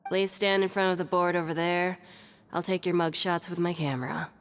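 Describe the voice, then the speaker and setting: Casting: jaded female prison registrar for a game acted by a woman, lowish pitch, dry and sardonic. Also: fairly even intonation, moderate pace, heavy background noise, lightly acted. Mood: dry, official. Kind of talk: English video game voice acting.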